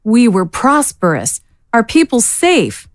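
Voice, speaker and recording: A woman's voice: a little high, huge pitch swings, takes it slowly, no room sound, studio quality, exaggerated voice. A woman playing a righteous, severe swordswoman in a fantasy audio drama, accusing and indignant in manner. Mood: stern, accusing. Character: stern, righteous female swordswoman of a warrior order.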